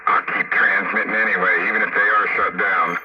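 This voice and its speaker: A man's voice: fairly low pitch, level tone, fairly quick. A man chatting casually over two-way radio in a colloquial, grumbling way. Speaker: licensed radio operator. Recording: noisy muffled two-way radio transmission. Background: heavy radio static.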